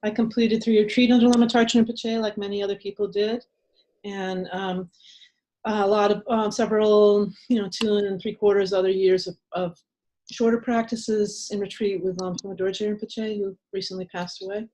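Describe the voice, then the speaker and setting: A woman's voice: mid-range voice, little pitch movement, moderate pace, warm tone, slight background noise, low-quality recording. Older woman teaching from home. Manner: chatty, many ums and restarts. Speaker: meditation teacher leading a workshop. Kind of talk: casual online workshop talk. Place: home shrine room. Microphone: webcam.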